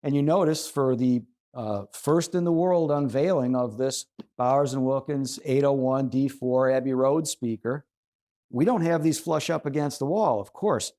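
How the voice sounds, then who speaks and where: Male host, relaxed mellow tone, mid pitch, articulate and conversational explainer. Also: a little slow. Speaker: host and audio reviewer. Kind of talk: conversational explanation of audio setup tips.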